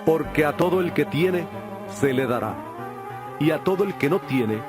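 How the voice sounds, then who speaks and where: A man performs a holy teacher in a dramatized scripture recording. His voice sits a little high for a man and moves in measured, declamatory phrases, patient yet authoritative. Soft music runs behind him. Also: animated, lightly acted. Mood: solemn teaching. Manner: measured, declamatory preaching delivery. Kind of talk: dramatized audio Bible acting.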